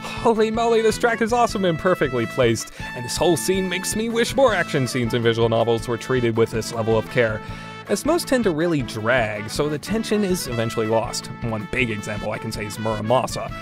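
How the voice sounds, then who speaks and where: A man hosting a solo review podcast, talking casually and getting animated when he is excited. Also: mid-range voice, a little fast. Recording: music playing underneath. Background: guitar music.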